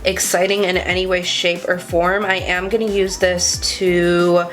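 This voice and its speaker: A woman's voice: lowish voice, level tone, a little slow, soft background music. A young adult woman vlogger chatting casually through a makeup tutorial, a bit congested and sniffly, talking in a confiding, self-deprecating way. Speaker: beauty vlogger.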